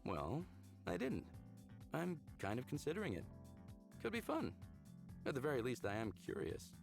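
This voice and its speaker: An actor voicing an upbeat, smitten young man in a visual novel game, speaking in an earnest, casual, expressive way. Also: mid-range voice, normal speed, gravelly. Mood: coy, curious. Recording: background music under the voice.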